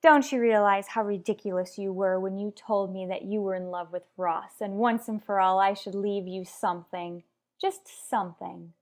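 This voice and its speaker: A woman's voice: mid-range voice, steady tone, moderate pace, a little mellow, close to an everyday voice. A woman playing a headstrong married mother in a radio soap, lecturing her rival bluntly and emphatically. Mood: blunt, insistent. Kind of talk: radio soap opera acting.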